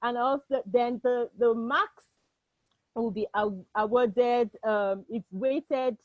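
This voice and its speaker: A fairly high-voiced Nigerian woman hosts a live online contest from home, chatty and full of restarts. Her audio comes through a video call, a little dull and dry with no room sound. She is a Yoruba speaker in her thirties. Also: slow, dry recording. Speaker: host. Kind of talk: hosting an online cooking contest.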